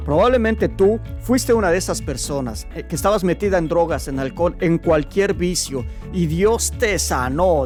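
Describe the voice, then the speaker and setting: Preaching man with music behind. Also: a little high. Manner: exhorting, addresses listener directly. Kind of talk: preaching introduction and interview.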